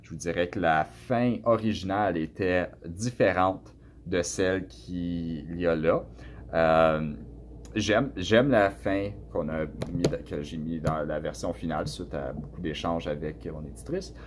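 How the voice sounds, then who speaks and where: A man from Canada with a Canadian French accent, answering students' questions about his book in a relaxed, hesitant way with many fillers and restarts. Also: low-pitched, unhurried, slight background noise. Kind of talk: casual spoken answers to a class.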